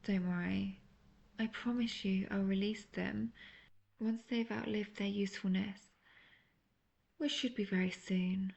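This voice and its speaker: A woman playing a manipulative, seductive imprisoned witch in a fantasy audio roleplay, speaking intimately in a breathy near-whisper. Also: medium pitch, steady tone, measured pace, pauses often, darkish tone, highs cut off. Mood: smug, taunting.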